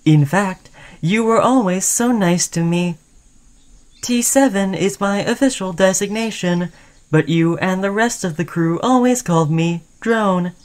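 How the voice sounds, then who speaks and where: A woman's voice: medium pitch, unhurried, darkish tone, lightly acted. A woman voicing a friendly, caring, obsessively devoted sentient robot in a chatty, soothing audio roleplay. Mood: fond, earnest. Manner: chatty, soothing, addressing listener as human friend. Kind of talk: scripted ASMR roleplay addressed to the listener.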